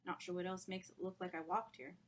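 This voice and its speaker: A woman's voice: lowish voice, brisk, a little hiss or hum, lightly acted. A woman reading a story, voicing the wry inner thoughts of a skeptical man in a dry, understated way.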